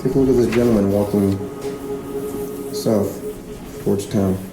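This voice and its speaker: A man playing a stern small-town lawman in a fiction podcast, questioning deliberately and suspiciously, with pointed pauses and a gravelly voice. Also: fairly low pitch, fairly even intonation, natural voice. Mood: probing. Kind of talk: fiction podcast drama acting. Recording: roomy echo with music underneath.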